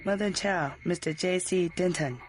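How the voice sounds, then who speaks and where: A woman's voice: on the low side, moderate pace, soft background music, close to an everyday voice. A woman playing a polite house servant in a video game, with a formal, deferential manner.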